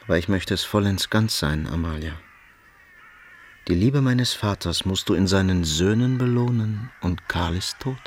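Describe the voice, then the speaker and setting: An actor playing a menacing, gloating nobleman villain in a German radio drama, mocking and deliberate in delivery. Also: sits low, slight background noise, close to an everyday voice. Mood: mocking, cajoling.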